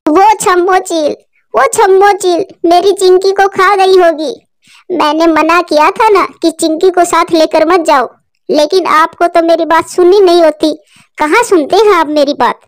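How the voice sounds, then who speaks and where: A woman acts out a worried mother pigeon in a children's cartoon. Her voice is high and accusing, full of distress. The sound is dry. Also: fairly even intonation, unhurried, no echo. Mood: distraught, scolding. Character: fretful, scolding mother pigeon.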